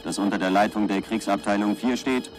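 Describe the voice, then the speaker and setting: An actor playing a stern army commander who delivers a dry, formal confidential report in a radio drama. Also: male voice, fairly low pitch, fairly even intonation, brisk, a little hiss or hum, natural voice. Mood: stern, official. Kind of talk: radio drama military dispatch.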